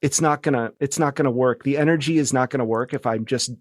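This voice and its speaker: A man's voice: mid-range voice, quick pace, no echo, studio quality. A man in his forties speaking casually as a podcast guest, in a rambling, self-deprecating way. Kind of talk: casual conversational interview.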